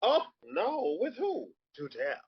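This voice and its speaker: Casting: male actor as sassy, gossipy drag queen, theatrical drawn-out lines, lively swoops, slightly raspy, dull recording. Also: sits high, exaggerated voice. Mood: flirty, gossipy. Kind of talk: comedic live table read. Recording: dull lo-fi audio.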